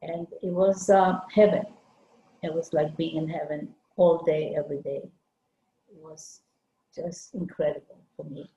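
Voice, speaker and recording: Older woman from Lithuania, low dark voice, halting delivery, roomy echo on a video call. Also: steady tone, dull audio, slight background noise. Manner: halting, searching for words. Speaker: guest artist. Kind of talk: conversational interview.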